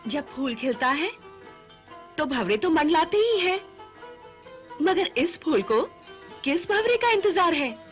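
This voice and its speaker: An actress playing a playful young confidante in a period serial, coy and teasing. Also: female voice, high-pitched, sing-song, slow pace, long pauses, faint music behind, full character voice. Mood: teasing, curious. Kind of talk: formal Urdu-flavoured period serial acting.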